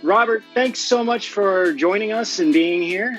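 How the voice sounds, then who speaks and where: A friendly man hosting an interview, talking in a loose, chatty way with plenty of fillers. His voice sits high for a man. A faint bed of music plays underneath. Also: unhurried, fairly bright tone. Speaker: show host.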